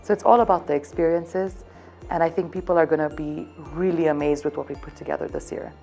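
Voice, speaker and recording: A woman's voice: deep voice, steady tone, fast, gravelly, mellow, soft background music. A woman in her thirties from Zambia, speaking as a hotel events representative. She gives upbeat, promotional interview answers and keeps circling back to one theme.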